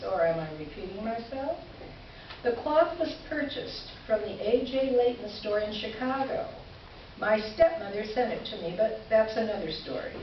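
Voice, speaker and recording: A woman playing an exasperated, sardonic letter writer in a staged reading, with a measured, dry, indignant delivery. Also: a little high. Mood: dry indignation. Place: live stage reading in a room, amplified. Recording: roomy, distant, muffled, slightly noisy live recording.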